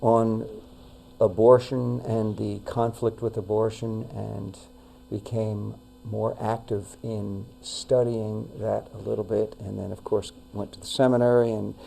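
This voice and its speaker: An older man with a New York accent speaks as a guest on a local talk show, his voice low and heavily gravelly. He talks in a measured way, often pausing on fillers and restarting a phrase. A faint mains hum sits under the recording. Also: flat delivery, dark tone, low-quality recording. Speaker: retired pastor, guest. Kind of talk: casual interview talk.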